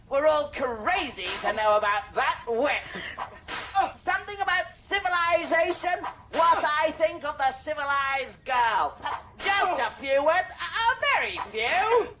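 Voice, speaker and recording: A pushy, gushing radio reporter calls out questions in a high, sing-song voice. Music plays under her clearly in this radio play. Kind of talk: radio drama acting.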